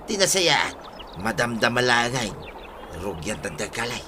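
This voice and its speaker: A man's voice: medium pitch, big pitch swings, moderate pace, very noisy, lightly acted. A man with an Ilocano accent playing a sly, bossy village treasure hunter in a comedy drama, scheming and eager. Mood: casual, bossy. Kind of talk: Ilocano comedy radio-style drama acting.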